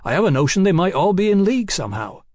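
A self-assured gentleman doctor from a storybook acted by a male narrator. He speaks formally and with pompous authority, as one used to giving orders and handing out money. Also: medium pitch, fairly quick, no room sound, studio quality, lightly acted.

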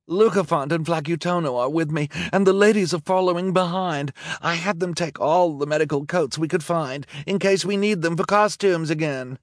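Man putting on a shrill, affected villainess. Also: normal speed, few pauses, dry recording, studio quality, lightly acted. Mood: gushing. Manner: affected, cackling, gushing. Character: villain's haughty, gushing glamorous girlfriend. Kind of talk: audiobook character acting.

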